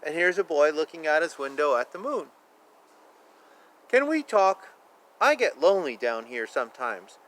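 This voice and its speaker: A man's voice: a little high, big pitch swings, moderate pace, long pauses, a bit bright. A man reading a picture book aloud to young children, playful and chatty, with exclamations and asides. He stretches words for emphasis. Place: outdoors. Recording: outdoor ambient noise, faint. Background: faint outdoor ambience.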